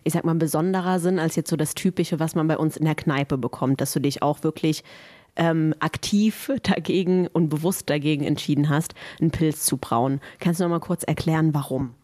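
A woman's voice: low-pitched, fairly even intonation, a little fast, warm tone, no room sound. A woman hosting a podcast in a basement room, asking friendly, chatty questions. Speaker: podcast host. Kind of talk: casual interview.

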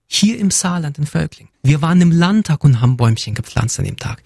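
A man who grew up in Germany speaks earnestly as an interview guest, often building his answers as lists. His voice is warm and a little husky. The sound is dry and close, with no room in it. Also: a little high, animated, a little fast, no room sound. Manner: earnest, list-like phrasing. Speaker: guest, community representative.

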